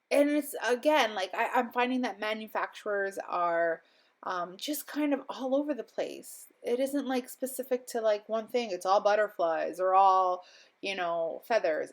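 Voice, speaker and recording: A young woman recovering from a cold, narrating a crafting voiceover at home in a rambling, chatty way with lots of ums and little laughs. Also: mid-range voice. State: slightly stuffy, recovering from illness. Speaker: crafting vlogger. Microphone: voiceover mic.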